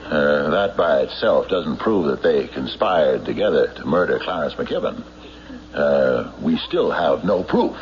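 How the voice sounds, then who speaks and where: A man playing a tough, skeptical sheriff in an old radio drama, measured, dry and probing, on a muffled recording. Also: rumbling low, sing-song, slight background noise, heavily acted. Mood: dry, patient. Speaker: sheriff narrating his own investigation. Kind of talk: old-time radio drama acting and narration.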